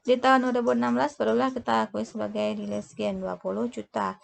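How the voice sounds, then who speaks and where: A woman speaks in a fairly high voice with noticeable creak. She teaches step by step through worked examples, haltingly, often stopping mid-word and correcting herself. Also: flat delivery. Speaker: accounting lecturer. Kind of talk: instructional explanation of worked examples.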